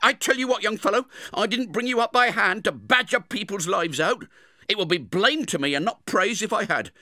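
A man plays a bad-tempered blacksmith's wife, scolding in a high, shrill, snappish voice. Her lines are loud and full of sharp complaint, recorded dry and close. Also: normal speed, dry recording.

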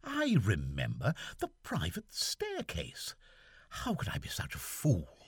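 A man voicing an excitable young army lieutenant who keeps exclaiming in exasperation, in an audiobook. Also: low voice, takes it slowly, husky, no room sound, studio quality, full character voice. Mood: musing. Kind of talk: audiobook character voice.

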